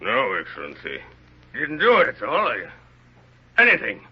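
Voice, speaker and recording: Poor simple old man pleading his case, stammery and hoarse-ish, old radio sound. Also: medium pitch, huge pitch swings, moderate pace, full character voice. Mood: timid, confused. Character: simple, bewildered, desperate old peasant prisoner. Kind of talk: 1930s radio drama acting. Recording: muffled 1930s radio recording.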